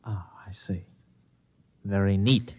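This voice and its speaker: An actor in an old-time radio drama playing a cynical, plain-spoken space pilot with a wary manner. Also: male voice, lowish voice, steady tone, takes it slowly, a little mellow, slightly rough. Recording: dull vintage radio recording.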